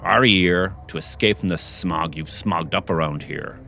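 A man's voice: moderate pace, vocal fry, full character voice. A man voicing a small, indignant male forest creature from a storybook, raised and scolding. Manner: scolding, high-pitched and loud. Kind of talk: storybook reading.

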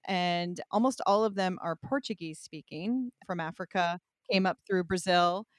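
A woman's voice: medium pitch, dry recording, studio quality. A middle-aged woman hosting an interview in a casual, conversational way, chatty, informal and effusive. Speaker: interview host.

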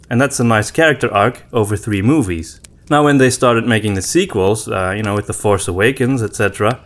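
A man co-hosting a podcast, talking informally and thinking aloud as he goes. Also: mid-range voice. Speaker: podcast co-host. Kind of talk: casual discussion of storytelling.

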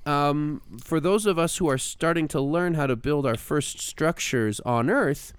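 Clear-voiced man chatting emphatically, dry sound. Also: fairly high pitch, a little slow, no echo. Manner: emphatic, acts out imagined remarks. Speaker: podcast co-host and music teacher. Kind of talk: casual podcast chat.

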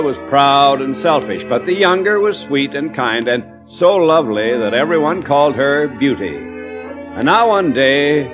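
A man talks to young listeners as host and storyteller in a medium-pitched voice. Music is clearly heard behind him. The sound is dull, like an old radio broadcast. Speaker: host and storyteller of children's show. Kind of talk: old-time radio children's hosting and storytelling.

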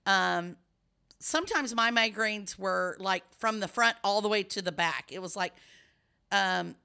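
A middle-aged woman with a bright, forward tone, though her voice sounds scratchy today. She explains things in a loose, rambling way, circling back and restarting her sentences as she hosts a relaxed conversation. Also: mid-range voice, a little slow, vocal fry, smooth. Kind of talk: casual chat.

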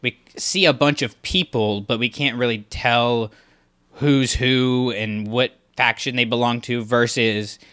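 Male podcast co-host, bright tone, casual rambling chat. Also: medium pitch, steady tone, measured pace, clear, resonant tone. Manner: rambling, lots of fillers and restarts.

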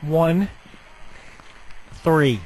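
A man's voice: on the high side, very slow, darkish tone. A man making a prank phone call, speaking in a hesitant, polite, stalling and apologetic way. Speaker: prank caller. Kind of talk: feigned apologetic phone conversation. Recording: noisy phone call with clicks.

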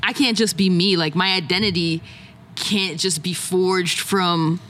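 An outgoing young woman from Jamaica talks as a podcast guest in easy, casual chat. She tells her story with lively energy, slipping into the voices of the people she quotes. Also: lowish voice, measured pace, slight background noise. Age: young adult.

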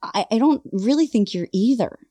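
A woman host and vocal coach chatting casually on a podcast, bubbly and animated, laughing often. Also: on the high side, moderate pace, no room sound. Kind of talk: casual chat.

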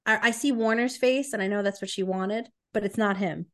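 A woman's voice: mid-range voice, fairly quick. Blunt, joking woman podcaster. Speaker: podcast co-host. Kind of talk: casual chat.

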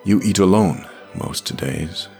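A world-weary male boss, as a man narrating puts him on talks in a low voice. He is mild and absent-minded, rambling matter-of-factly through his explanations. It is an audio drama with music behind it. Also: slow, creaky, full character voice. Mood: weary, candid.

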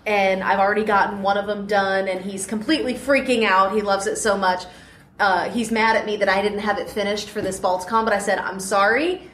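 A woman's voice: medium pitch, fairly even intonation, a little fast, fairly bright tone. A woman in her thirties, a podcast host, chatting casually and laughing often as an interview guest at a convention panel. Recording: roomy echo, faint noise.

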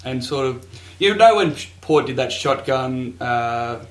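A man's voice: mid-range voice, expressive, takes it slowly. Man in an echoey room. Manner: joking, mock-grandiose. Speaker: podcast co-host. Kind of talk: casual sports podcast chat.

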